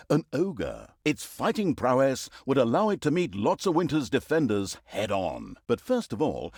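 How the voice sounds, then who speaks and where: A man's voice: mid-range voice, a little mellow, no echo, clean studio sound. A man playing a sarcastic, droll storybook narrator of a villain's exploits in a fantasy video game, with mock-grandiose, theatrical delivery.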